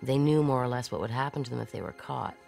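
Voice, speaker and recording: A woman's voice: extremely low pitch, fast, creaky, a little mellow, faint music behind, full character voice. An actress playing a defiant woman fugitive who recounts her escape in a wry, conversational, matter-of-fact way in an audio drama. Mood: wry, weary.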